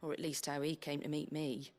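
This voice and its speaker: A woman playing a brisk, plain-spoken British innkeeper in a video game, earthy, colloquial and wry, tough but kindly. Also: sits low, flat delivery, natural voice. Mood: wry, reminiscing. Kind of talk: video game character dialogue. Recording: game music behind the voice.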